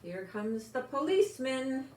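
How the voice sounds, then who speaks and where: An older woman reads a children's storybook at a leisurely pace. She is expressive and speaks directly to young listeners with gentle asides. The room is echoey, with some noise behind her. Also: high voice, measured pace. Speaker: storyteller grandmother reading a picture book.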